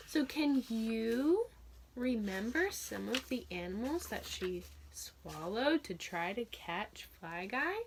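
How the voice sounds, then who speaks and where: A woman's voice: on the high side, a bit bright. A woman teaching young children through a read-aloud, telling the story expressively and putting simple, encouraging questions to her listeners.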